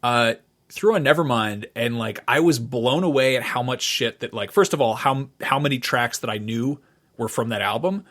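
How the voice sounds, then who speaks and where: A middle-aged man speaks at an average pitch as a podcast co-host. His delivery is informal and unscripted. He has a wry, irreverent way of putting things in loose conversation. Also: animated, moderate pace, a bit bright. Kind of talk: casual, unscripted chat.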